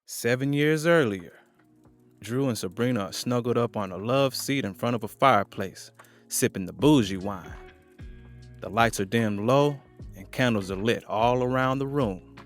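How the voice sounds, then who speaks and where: A man's voice: mid-range voice, normal speed, soft background music. A man reading scripted, present-tense narration for an audio drama.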